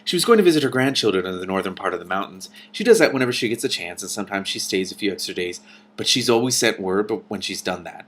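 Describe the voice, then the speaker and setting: A man's voice: fairly low pitch, animated, fast talker, fairly bright tone. A man voicing a frank, worried young woman who explains things matter-of-factly in a storybook read-aloud. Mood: worried, explanatory.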